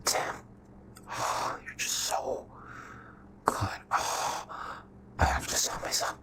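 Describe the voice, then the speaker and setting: A man playing a charming, possessive royal vampire in an intimate ASMR roleplay, with a breathy, hushed, courtly and menacing delivery. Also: slow pace, very noisy, lightly acted. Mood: hungry, restrained. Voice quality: breathy whispering, very low voice.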